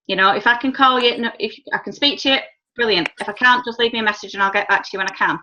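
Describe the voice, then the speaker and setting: English woman running a team call, bright voice, kinda muffled, little hiss. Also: medium pitch, very fast. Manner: chatty, reassuring, self-described as shy. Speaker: team trainer leading a call. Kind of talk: casual group video call. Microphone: video call. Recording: muffled online call audio with faint noise.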